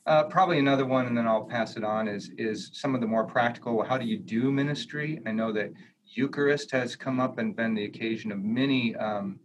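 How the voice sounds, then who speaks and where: A man talks thoughtfully as a pastor on a video call, measured and hesitant. Clear hiss and background noise sit under his voice the whole time. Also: medium pitch. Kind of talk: online panel discussion. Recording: noisy video call audio.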